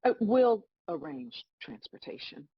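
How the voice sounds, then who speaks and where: A woman playing God as a stern female celestial judge in a comedic table read, husky-voiced, dry, scolding and sarcastic. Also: a little high, animated, a little slow, dry recording, lo-fi sound, heavily acted. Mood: dry, businesslike. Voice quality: husky, raspy.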